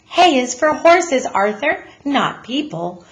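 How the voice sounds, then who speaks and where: A woman's voice: sits high, big pitch swings, slow, muffled recording, pitched above the performer's own voice, full character voice. A woman voicing a proper, mild-mannered father in a storybook, speaking emphatically and correctly. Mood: proper, emphatic.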